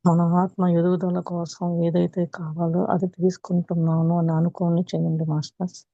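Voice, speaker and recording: A woman with a Telugu accent leading a guided meditation as a teacher, calm and unhurried, with long pauses. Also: fairly low pitch. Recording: muffled, echoey room recording.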